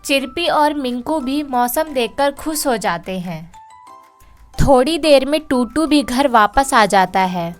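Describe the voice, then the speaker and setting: A woman narrating a children's cartoon story, speaking slowly and clearly in a storytelling way. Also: high-pitched, lively intonation, soft background music.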